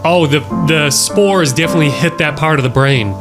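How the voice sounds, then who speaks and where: A man's voice: on the high side, normal speed. A man reading text aloud and adding casual, wry commentary as a horror reaction host. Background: music behind the voice.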